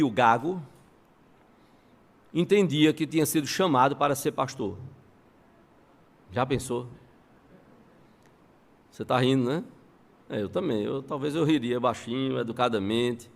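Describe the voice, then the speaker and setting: A Brazilian man preaching a sermon in an emphatic, deliberate way, repeating key phrases and telling stories with rhetorical questions. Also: mid-range voice, creaky. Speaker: pastor preaching. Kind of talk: sermon with storytelling and quoted dialogue. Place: church service before a congregation.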